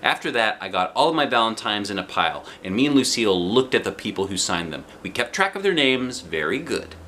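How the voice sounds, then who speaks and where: Animated, bright-voiced male storybook reader. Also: fairly low pitch, brisk, a little hiss or hum. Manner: expressive, theatrical. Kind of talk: animated children's storybook narration.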